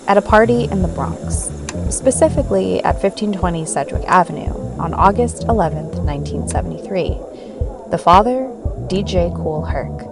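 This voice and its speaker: An American woman from the San Francisco Bay Area speaking as the host of a radio podcast. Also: lowish voice. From San Francisco Bay Area, United States. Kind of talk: scripted narration and interview.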